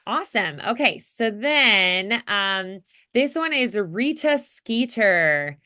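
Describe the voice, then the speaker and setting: Woman, acting coach, bright forceful tone, smooth clear voice, casual, animated, encouraging. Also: mid-range voice, slow. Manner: animated, encouraging, acts out examples.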